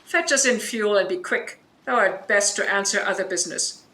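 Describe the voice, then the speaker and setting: A woman playing a commanding, stern sorceress in a Shakespearean audio drama, speaking with measured, declaimed authority. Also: mid-range voice, bright, forceful tone, natural voice. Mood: wrathful. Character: commanding, stern sorceress mother, mistress of spirits.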